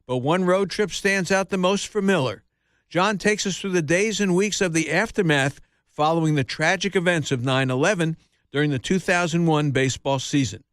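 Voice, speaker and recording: A man's voice: a little high, normal speed, a bit bright, dry recording, studio quality. A man reading scripted documentary narration as the narrator.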